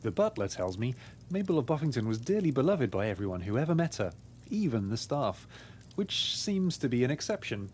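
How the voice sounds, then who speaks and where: A man voicing an earnest pig detective in a game, reasoning through deductions in a formal, deliberate way. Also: medium pitch, sing-song, darkish tone, slight background noise, close to an everyday voice. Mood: earnest, deductive. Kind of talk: scripted game dialogue.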